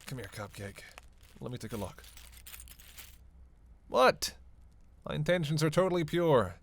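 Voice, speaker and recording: A creaky, gravel-edged male vampire played by a man in a roleplay audio drama. He talks casually and sarcastically, teasing and cursing as he goes, always playfully out for himself. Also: mid-range voice, expressive, normal speed, fairly bright tone. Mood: concerned, flirty. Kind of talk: roleplay audio drama, one-sided conversation with listener.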